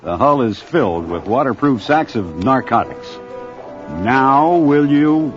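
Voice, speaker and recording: Children's adventure record: man as stern grandpa skipper, mid-pitched, faint music behind. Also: very animated, slow, lo-fi sound. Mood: explaining. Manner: firm, authoritative. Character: gruff, commanding grandfather boat captain. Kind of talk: vintage children's adventure drama.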